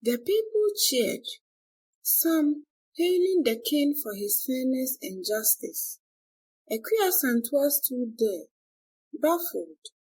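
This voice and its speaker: Slow, lively woman storyteller. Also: sits high, husky, low-quality recording. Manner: deliberate, expressive storytelling. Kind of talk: scripted dramatic folktale narration.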